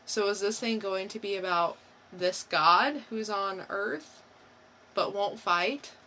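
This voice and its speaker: A woman with a bright, forward voice talks at an unhurried pace as a podcast co-host. She feels her way through a casual review, often unsure and thinking out loud. There is faint noise behind her. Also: mid-range voice. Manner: halting, unsure, thinking aloud. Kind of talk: casual, unscripted review chat.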